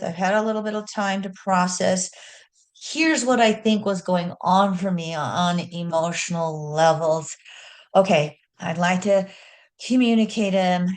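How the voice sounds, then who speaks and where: Slow, low-voiced woman astrologer. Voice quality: loud speaking voice. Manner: earnest, folksy, self-deprecating asides. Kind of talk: teaching astrology talk with slides.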